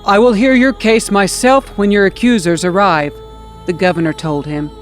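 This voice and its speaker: A woman's voice: mid-range voice, steady tone, moderate pace, soft background music. A woman reading scripture aloud as a scripted audiobook narration. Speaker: scripture reader.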